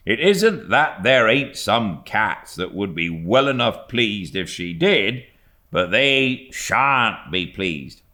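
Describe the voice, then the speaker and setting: A man voicing a warm-hearted, blunt middle-aged woman servant who talks in an emphatic, homely way. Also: fairly low pitch, big pitch swings, slow, voice pushed up, exaggerated voice. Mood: fierce, loyal.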